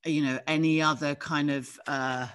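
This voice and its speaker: A host chats in a casual interview, encouraging the guest with constant backchannels and bright exclamations. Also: medium pitch, fairly even intonation, normal speed, clear, resonant tone. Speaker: podcast host.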